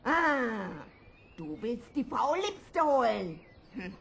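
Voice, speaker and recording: A wicked old witch from a fairy-tale radio play, high-pitched and swooping. She draws out her venomous taunts slowly, gloating cruelly. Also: big pitch swings, vocal fry, slight background noise, heavily acted. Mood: mocking, menacing. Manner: venomous, drawn-out, mocking delivery. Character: spiteful old sorceress, furious, gloating and cruel. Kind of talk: fairy-tale audio drama acting.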